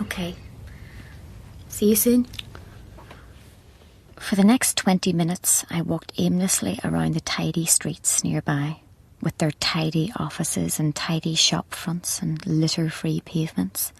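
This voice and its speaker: An actress with an Irish accent playing a distressed, blunt, sceptical woman in a radio play, her voice breathy and husky. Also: low voice, fairly even intonation, unhurried, exaggerated voice. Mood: subdued. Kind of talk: radio play acting with first-person narration.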